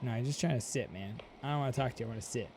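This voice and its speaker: A woman's voice: very deep voice, very fast, noisy background. A woman giving casual live game commentary as a streamer, playful and slangy as she talks to her chat.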